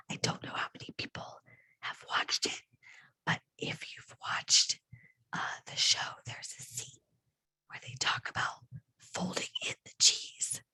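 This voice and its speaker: A woman's voice: sharp tone, no room sound, rough recording. A woman who has lost her voice, whispering breathily close to a microphone as a teacher presenting a conference session, chatty and self-deprecating. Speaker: staff development teacher presenting.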